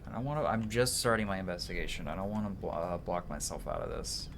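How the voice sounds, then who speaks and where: A man's voice: low voice, fast, gravelly, background noise. A man live streaming a game, reading dialogue aloud and chatting in a casual, slangy way.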